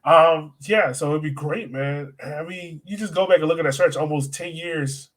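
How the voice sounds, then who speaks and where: A man's voice: on the high side, moderate pace, fairly bright tone. A man hosting a casual sports podcast, informal and conversational, often posing questions to his co-host.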